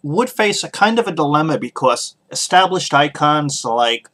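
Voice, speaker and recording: A man hosting a podcast, giving casual solo opinions in a halting way, pausing often as he thinks aloud. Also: on the high side, sing-song, fairly bright tone. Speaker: podcast host.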